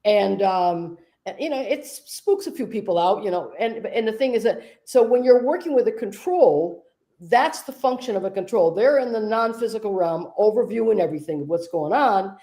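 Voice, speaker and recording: A woman hosting a conversation, a medium telling stories in a relaxed, discursive way. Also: medium pitch, moderate pace. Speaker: host and trance medium. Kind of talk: casual conversation.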